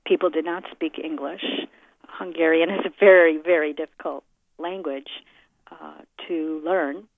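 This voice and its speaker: A middle-aged American woman giving reflective interview answers in a measured, deliberate way, pausing often as she thinks. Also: low voice, fairly even intonation, dry recording. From United States. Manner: measured, deliberate, with frequent pauses. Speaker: interviewee, concert pianist. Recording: muffled narrow-band phone or radio-like sound.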